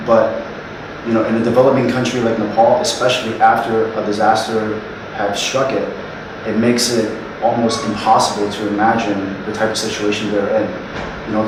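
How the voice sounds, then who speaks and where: A young man from Hong Kong, co-founder of a student nonprofit, answering interview questions sincerely, with many fillers. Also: on the low side. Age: young adult. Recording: echoey room, distant mic, noisy.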